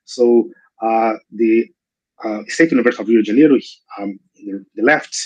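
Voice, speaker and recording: A man's voice: on the low side, moderate pace, slightly rough, dull audio. A man in his forties from Brazil, speaking English with a mixed Brazilian, British and American accent, giving a seminar over a video call with many fillers and restarts. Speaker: invited guest speaker. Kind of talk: online seminar talk.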